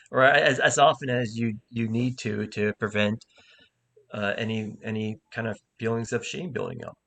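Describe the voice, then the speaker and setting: A man's voice: lowish voice, creaky, fairly bright tone. A man who stutters, an improv teacher and comic, talking candidly in a casual conversation with many repeated words and restarts. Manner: candid, halting, thoughtful.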